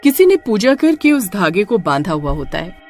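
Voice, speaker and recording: A woman's voice: mid-range voice, sing-song, moderate pace, over music. A woman narrating a scripted horror story.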